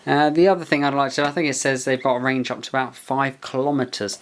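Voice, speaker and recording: Guy reviewing a gadget, noisy recording with clicks, mid pitched, kind of muttering to himself and reading instructions out. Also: fairly bright tone. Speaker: product reviewer. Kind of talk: casual hands-on review, partly reading a manual aloud. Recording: clear background noise with handling clicks.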